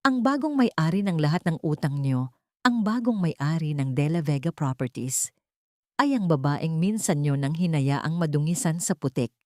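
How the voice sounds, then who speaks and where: A woman voicing a wronged heiress in an audio drama, composed and quietly vengeful, speaking gently but with a cutting, authoritative edge. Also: sits low, expressive, moderate pace, no echo, studio quality. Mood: bitter reproach. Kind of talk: dramatic audio story acting.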